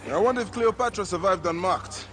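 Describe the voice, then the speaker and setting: An actor voicing a grave male warrior who speaks in a low, measured, dry way. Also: natural voice. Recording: game scene with loud music.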